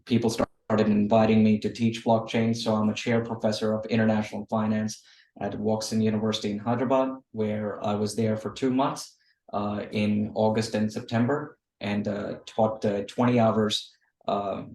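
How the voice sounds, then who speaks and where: A man in his thirties with an Australian accent speaks in a lowish, fairly level voice. He talks at a measured pace with many small fillers. His video call audio sounds distant and roomy. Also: darkish tone. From Australia and India. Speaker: guest.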